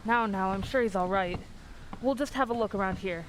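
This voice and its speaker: A steady, folksy sheriff, played by a woman speaks at a middle pitch. The delivery is calm radio drama acting over faint noise. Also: brisk, lightly acted, low-quality recording. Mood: reassuring. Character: steady, reassuring small-town sheriff.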